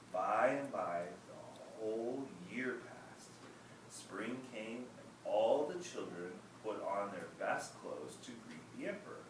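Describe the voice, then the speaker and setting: A man reads a children's story aloud with an expressive, deliberate delivery. His voice is mid-pitched and sounds far from the mic in a very echoey room, with clear background noise. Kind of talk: children's picture book read-aloud.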